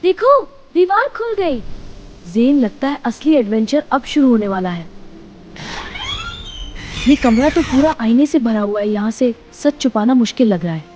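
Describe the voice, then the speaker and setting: An excitable young boy , played by a woman, speaks in a high, earnest voice. He is a cartoon adventurer who exclaims and frightens easily. The sound is slightly dull, with music behind him. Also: normal speed, slight background noise. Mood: amazed, nervous. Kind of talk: children's moral cartoon story acting.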